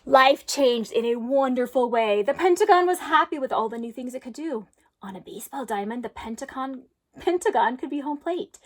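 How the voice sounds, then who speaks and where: A woman reading a children's storybook aloud expressively, with deliberate, emphatic phrasing, like a teacher for pupils. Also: high-pitched, expressive.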